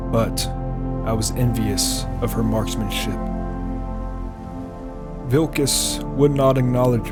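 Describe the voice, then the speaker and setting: A man playing a proud, reclusive warrior in a fantasy game roleplay, gruff and stoic, with slow, deliberate delivery. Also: on the low side. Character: brooding, proud, reclusive male warrior and lone swordsman. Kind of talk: dramatic in-character narration and roleplay.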